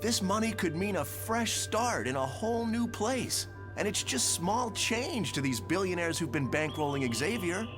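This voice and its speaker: A man's voice: high-pitched, very animated, measured pace, over music. A man playing an aggrieved working-class father in a motion comic, pressing and exasperated. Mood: pleading. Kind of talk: motion comic character acting.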